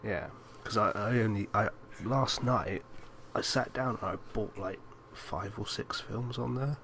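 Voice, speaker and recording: A man's voice: on the low side, measured pace, a bit bright. A man co-hosting a podcast, chatting casually about films. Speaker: podcast co-host. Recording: noisy amateur podcast recording.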